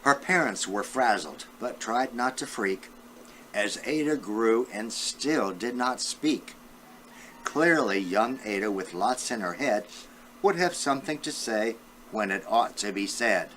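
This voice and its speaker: An elderly man with a low, bright voice reads a children's storybook at an unhurried pace. His delivery swings up and down as he addresses young listeners directly, like a great-grandfather at storytime. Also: animated, slight background noise, low-quality recording. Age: 70s or older. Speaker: great-grandfather reading aloud. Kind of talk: children's storybook read-aloud.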